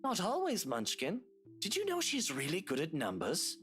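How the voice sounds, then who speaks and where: A woman's voice: lowish voice, huge pitch swings, moderate pace, a bit bright, pitched below the performer's own voice. A woman voicing a smug, sweet-talking rich uncle with a tender side, theatrical and affectionate, in a visual novel game. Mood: gentle. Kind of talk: voiced visual novel character. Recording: faint music underneath.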